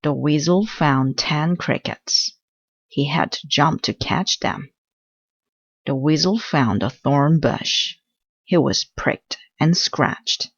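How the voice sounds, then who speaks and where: A low-voiced woman whose first language is Korean reads a picture book aloud, unhurried and gentle. Her tone is soft and breathy. The recording is dry and a bit muffled. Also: no room sound. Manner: slow, gentle, careful reading. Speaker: storybook reader.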